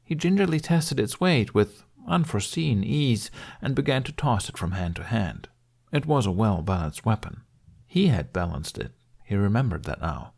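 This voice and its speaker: A man's voice: on the low side, sing-song, moderate pace, creaky, a little mellow, breathy edge. A man reading scripted story narration as an audiobook narrator.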